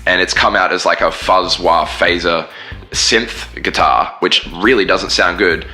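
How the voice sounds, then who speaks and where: Low voiced aussie singer guy rambling thru his answers, forceful tone. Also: steady tone, unhurried, soft background music. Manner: rambling, many fillers and restarts. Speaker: band singer and guitarist. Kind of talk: casual interview chat.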